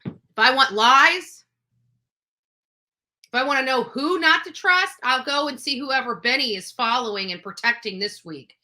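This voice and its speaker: A woman's voice: high-pitched, normal speed, bright, forceful tone. A woman giving unscripted, opinionated livestream commentary, emphatic and accusatory, repeating phrases for force. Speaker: livestream commentator on true crime cases.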